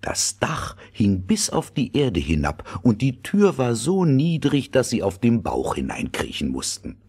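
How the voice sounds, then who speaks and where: A man narrating a fairy tale in a measured, dramatic way, with a husky, breathy voice. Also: medium pitch, wildly swooping pitch, a little mellow.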